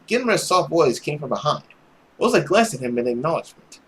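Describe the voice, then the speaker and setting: A man doing casual gaming commentary and reading a visual novel story aloud, with expressive, emphatic reactions. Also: fairly high pitch, a little fast. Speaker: let's player narrating a visual novel. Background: guitar music.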